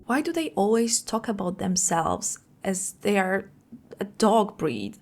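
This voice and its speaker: A woman's voice: medium pitch, very animated, a little slow, darkish tone, faint music behind. Woman reading comments with dry sarcasm. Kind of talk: reading viewer comments aloud.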